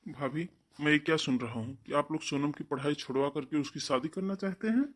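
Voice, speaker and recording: A man's voice: a little high, a little fast, close to an everyday voice. A man voicing a protective, defiant young brother, earnest and firm, in a melodramatic cartoon story.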